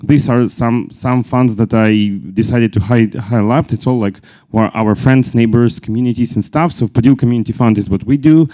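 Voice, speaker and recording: Guy presenting, lots of uhs and restarts, mellow voice. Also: mid-range voice. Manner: halting, with many fillers and restarts. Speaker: panel speaker. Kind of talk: conference presentation.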